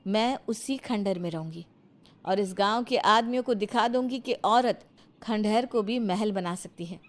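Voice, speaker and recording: A woman's voice: medium pitch, a little slow, natural voice. A woman voicing a defiant, determined young city-bred daughter-in-law in a moral story, speaking firmly and resolutely. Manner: firm, resolute. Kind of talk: Hindi moral story character acting.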